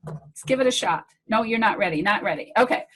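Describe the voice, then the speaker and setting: A woman's voice: medium pitch, expressive, brisk, fairly bright tone, slight background noise. A woman hosting a casual video call Q&A as a medical director, chatty and friendly with rambling restarts.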